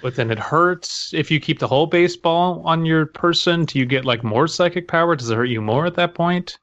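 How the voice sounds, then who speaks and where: A man's voice: a little high, fairly quick, a bit bright, no echo, highs cut off. A man co-hosting a podcast, chatting casually and reading aloud with a sardonic edge. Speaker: podcast co-host.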